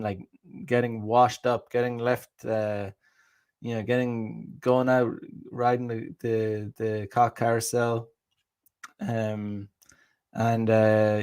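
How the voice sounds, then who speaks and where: Irish guy ranting on his stream, cursing a lot, stops and restarts, mid pitch. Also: a little mellow. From Ireland. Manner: rambling, profane, many restarts. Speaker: podcast host. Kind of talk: casual livestream monologue.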